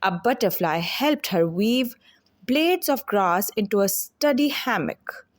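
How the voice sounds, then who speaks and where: A woman reading a children's fairy tale aloud as an expressive, deliberate storyteller. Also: medium pitch.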